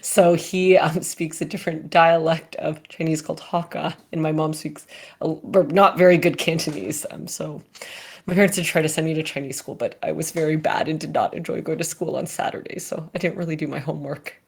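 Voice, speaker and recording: A woman's voice: sits low, fairly even intonation, a little fast, husky. A woman from California answering audience questions as a company chief, casual and chatty with many fillers and restarts.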